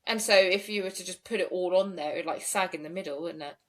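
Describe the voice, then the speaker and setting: A woman's voice: lowish voice, fast talker. A young woman co-hosting a true-crime podcast, chatty and giggly, with lots of "like". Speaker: podcast co-host. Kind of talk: casual true-crime chat.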